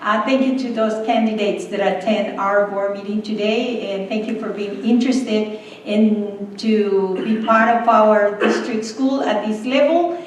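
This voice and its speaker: A woman, speaking slowly as president of a school board meeting. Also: medium pitch, fairly even intonation, slight background noise. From El Salvador. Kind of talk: public meeting remarks. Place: meeting room. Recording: echoey room, distant mic.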